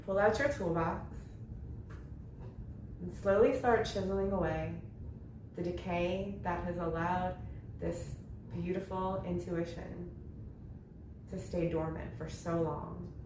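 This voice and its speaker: Slow-paced woman guiding meditation, echoing room. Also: on the low side, fairly even intonation. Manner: gentle, unhurried instruction in short phrases with long pauses. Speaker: yoga nidra meditation instructor. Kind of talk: guided meditation. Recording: roomy echo with faint background noise.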